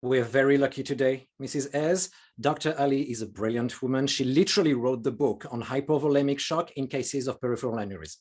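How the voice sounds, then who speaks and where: A woman acting a calm, reassuring emergency medical worker in a table read. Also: a bass voice, a little fast, slightly rough.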